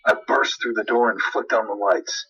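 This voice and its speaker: A man's voice: fairly low pitch, steady tone, normal speed, bright, forceful tone, breathy edge, lo-fi sound. A man reading a scripted first-person horror story as narrator, with dramatic, suspenseful delivery.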